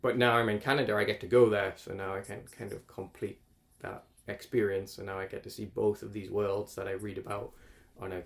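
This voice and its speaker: A man's voice: on the low side, little pitch movement, a little fast, creaky. A man, talking casually as an interview guest in a rambling, self-correcting way. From northeast England. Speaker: climate researcher guest.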